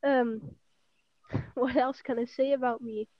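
A teenage girl from the Netherlands with a Dutch accent, a guest on a casual podcast who talks in an unhurried way with many ums. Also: on the high side, fairly even intonation, no room sound. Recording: dull, muffled audio.

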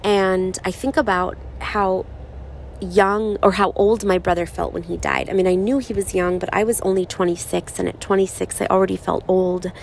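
A woman in her thirties speaking slowly and softly in a reflective personal monologue, her voice raspy from crying. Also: medium pitch, fairly even intonation, slight background noise. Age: 30s. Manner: slow, soft-spoken, halting with pauses.

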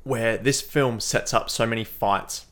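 A man's voice: mid-range voice, measured pace, fairly bright tone. A man co-hosting a film podcast, talking casually and often chuckling as he acts out bits of dialogue.

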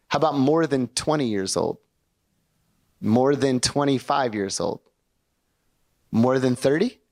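A young male pastor preaching a sermon to his congregation in a church, in a conversational and animated way, often putting questions to the room. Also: medium pitch. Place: church sanctuary before a congregation.

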